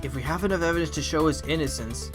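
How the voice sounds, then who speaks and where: A man playing an earnest, confident fox defence lawyer in an audio drama, reasoning aloud deliberately. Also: fairly high pitch, lightly acted. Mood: pondering. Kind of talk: audio drama courtroom acting. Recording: prominent music under the voice.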